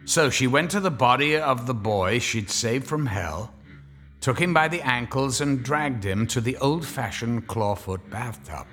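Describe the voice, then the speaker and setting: A man narrating a scripted horror story in a wry, measured, dramatic way. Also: medium pitch, animated, fairly bright tone.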